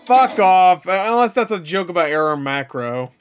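Jokey man reacting, slightly high voice. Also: sing-song, normal speed, over music. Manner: blunt, jokey, informal. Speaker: reaction video host. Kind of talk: casual, profane reaction commentary.

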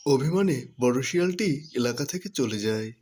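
A man's voice: medium pitch, lively intonation, brisk, darkish tone. A man narrating a scripted children's cartoon story.

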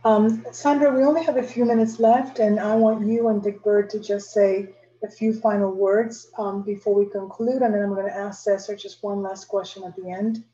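A woman's voice: mid-range voice, steady tone, moderate pace, hardly any pauses, dark tone. An older woman moderating a panel discussion over a video call from a room at home. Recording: muffled, roomy video-call sound.

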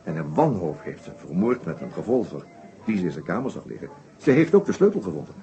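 Lively, deliberate detective, dull recording. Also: male voice, low voice, soft background music. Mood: grave, explaining. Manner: explanatory, deliberate, with small hesitations and tags. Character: shrewd, methodical male detective inspector summing up a murder case. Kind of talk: Dutch radio play acting. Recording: dull old radio play recording.

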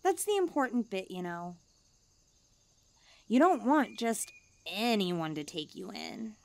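A woman's voice: medium pitch, big pitch swings, takes it slowly, darkish tone, no echo. A woman voicing a cute, self-important young stray catgirl in a languid ASMR roleplay. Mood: breezy, smug. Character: cute, smug, pampered, drowsy young female stray catgirl.

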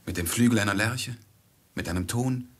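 An actor in a 1950s radio play, playing a merchant's loyal, anxious servant, deferential and urgent. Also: male voice, fairly low pitch, moderate pace, a bit bright. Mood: soothing.

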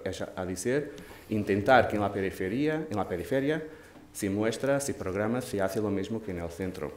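A man's voice: fairly low pitch, a little mellow. Portuguese man speaking Spanish, echoey hall. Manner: thoughtful, pausing with hesitations and restarts. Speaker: curator and panel moderator. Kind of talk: panel discussion talk. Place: large dark auditorium stage. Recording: echoey hall with faint noise.

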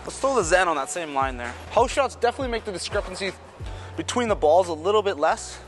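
High-voiced man giving relaxed shot-by-shot commentary. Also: quick pace, soft background music. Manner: relaxed, talks through each shot and adjustment. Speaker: bowling ball reviewer. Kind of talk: casual running commentary while bowling. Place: large indoor bowling alley.

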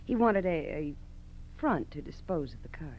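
An actress playing an ambitious, coolly menacing wife of a car thief in a 1940s American radio drama, assertive and pointed. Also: female voice, deep voice, very animated, moderate pace, muffled recording. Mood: coolly threatening. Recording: noisy, humming old radio recording.

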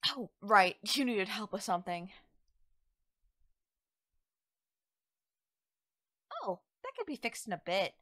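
A woman's voice: medium pitch, animated, a bit bright, no room sound, clean studio sound. A woman playing a teasing young forest witch in an audio roleplay, coy and soothing as she talks directly to the listener. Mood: playful, teasing. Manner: coy, soothing, conversational with drawn-out words. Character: playful, teasing young female forest witch, gently reassuring. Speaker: roleplay voice actor.

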